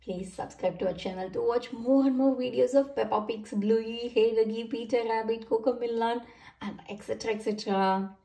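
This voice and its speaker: A woman's voice: fairly high pitch, fairly even intonation, moderate pace, creaky, mellow. A woman reading a storybook aloud as a channel host, addressing children directly and expressively. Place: indoor room, away from the mic. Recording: roomy, distant microphone.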